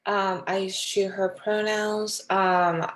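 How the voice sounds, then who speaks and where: A woman's voice: medium pitch, little pitch movement, takes it slowly. A young woman from China, a graduate student on a panel, introducing herself hesitantly with many ums. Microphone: video call.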